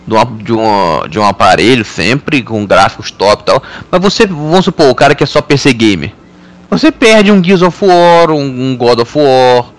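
A man's voice: mid-range voice, expressive, normal speed. A Brazilian man hosting a gaming podcast, rambling with hesitant restarts in casual chat. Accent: Brazilian Portuguese. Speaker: podcast host.

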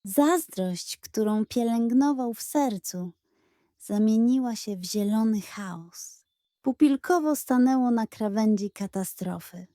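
A woman narrating a scripted children's story in a dramatic, expressive way. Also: fairly high pitch, slow pace, dry recording, clean studio sound. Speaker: audiobook narrator.

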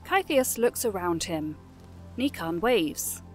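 A woman's voice: lowish voice, very animated, slow pace, soft background music. A woman narrating a scripted fantasy audio drama.

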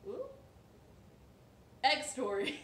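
A young woman presenting a children's storytime read-aloud in a playful, exaggerated, expressive way. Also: sits high, very slow. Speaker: children's librarian storytime host. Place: living room at home. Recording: roomy echo, some background hiss.

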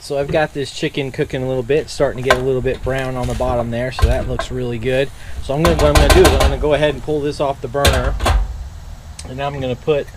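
A man hosting an outdoor cooking video, explaining each step at a relaxed pace with plenty of fillers and restarts. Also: medium pitch, heavy background noise. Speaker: outdoor cooking channel host. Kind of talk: casual cooking demonstration. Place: outdoors at a truck tailgate by a camp stove.

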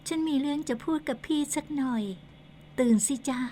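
An actress playing a gentle but insistent young female ghost in a radio ghost drama, speaking softly and teasingly. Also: sits high, fairly even intonation, brisk, slight background noise, close to an everyday voice. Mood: pleading. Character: soft-spoken young female ghost, coaxing.

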